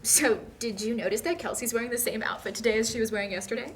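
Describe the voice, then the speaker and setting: A woman's voice: fairly high pitch, fast, natural voice. A young woman acting a bashful, chatty female college student in a table read, a little hesitant and defensive. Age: young adult. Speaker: actor at a script table read. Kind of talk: casual dialogue read aloud from a script. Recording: noisy room recording.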